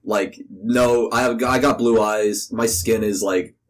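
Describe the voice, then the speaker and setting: A man's voice: lowish voice, steady tone, takes it slowly. A young American man giving casual, rambling interview answers with "like" sprinkled throughout. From United States. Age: young adult. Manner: rambling, informal, peppers speech with "like". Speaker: podcast interview guest.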